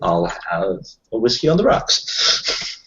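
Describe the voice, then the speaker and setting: A man's voice: sits low, very animated, slow pace, sharp tone, muffled recording, a little hiss or hum, voice pushed down, exaggerated voice. A man voicing a casual male newcomer at a bar in a tabletop game. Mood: casual, tentative.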